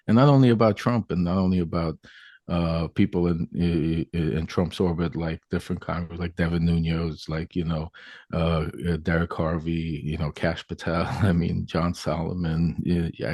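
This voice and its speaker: A man in his fifties with a very low voice, recorded dry and close. He talks in a loose, rambling way, circling back with fillers and restarts as an interview guest. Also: warm tone, dry recording. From Ukraine. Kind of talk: casual interview talk.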